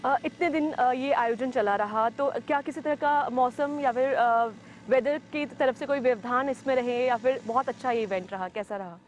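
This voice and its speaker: A woman works as an interviewer on location outdoors. Her voice sits fairly high, and she puts polite questions with hesitations as she goes. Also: moderate pace. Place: open grassy field in the mountains.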